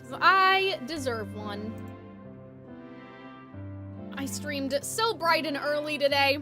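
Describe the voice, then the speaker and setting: A woman's voice: sits high, takes it slowly. A woman giving chatty, rambling, reactive commentary while streaming a game. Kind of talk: casual live gameplay commentary. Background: music.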